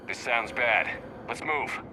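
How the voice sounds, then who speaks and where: A man plays a resolute, terse military commander in a sci-fi game. His mid-pitched voice gives clipped orders with authority, over clear vehicle noise. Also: slow pace, natural voice. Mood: commanding, focused. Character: resolute human military commander leading a rescue. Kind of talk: video game voice acting.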